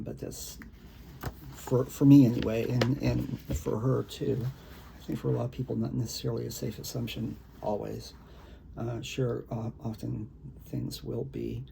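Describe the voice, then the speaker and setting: A man with a gravelly, creaky voice, a poet talking casually and reading his own work in a halting, conversational way. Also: medium pitch, warm tone, a little hiss or hum, rough recording. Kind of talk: casual talk and poetry reading.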